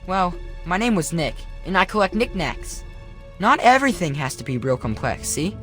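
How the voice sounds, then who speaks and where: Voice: medium pitch, very animated, normal speed, fairly bright tone, soft background music. An actor playing a cocky, scrappy boy shopkeeper in a video game, talking in a casual, slangy, streetwise way. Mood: cocky, curious. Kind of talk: video game character dialogue.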